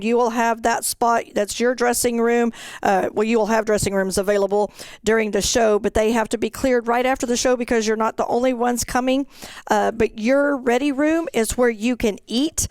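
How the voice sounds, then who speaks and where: A woman gives an informal briefing as an organizer. Her voice is fairly high and clear, conversational and explanatory, recorded dry with little room sound. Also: a little mellow, no room sound. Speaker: event organizer, co-presenter.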